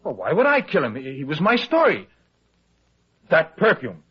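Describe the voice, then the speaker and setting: An actor playing an anxious, colloquial, fast-talking newspaper reporter in old-time radio detective style. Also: male voice, fairly high pitch, breathy edge, heavily acted. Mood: alarmed, pleading. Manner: colloquial, excitable, hesitant when pressed. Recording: dull, lo-fi old radio drama recording.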